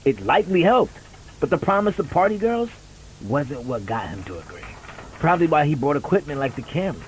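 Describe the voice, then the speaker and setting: A man playing a casual high school senior in an amateur audio drama, talking conversationally. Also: big pitch swings, a little fast, slight background noise, poor audio. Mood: knowing.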